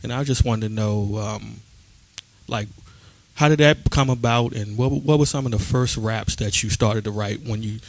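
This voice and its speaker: A man's voice: lowish voice, moderate pace, vocal fry, no room sound. A man interviewing on radio, putting his questions in a casual, hesitant, informal way. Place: radio studio.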